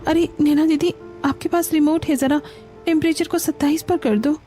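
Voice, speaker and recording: Woman as a meek, high-voiced young daughter-in-law. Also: steady tone, moderate pace, dark tone, soft background music, close to an everyday voice. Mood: polite pleading. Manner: meek, polite. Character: dutiful, put-upon young daughter-in-law, polite and meek.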